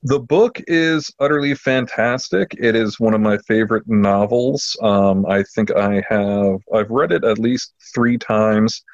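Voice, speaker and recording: A man chatting casually as a podcast host, enthusiastic but halting, often repeating words as he goes. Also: lowish voice. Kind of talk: casual chat. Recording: muffled lo-fi audio in a roomy space.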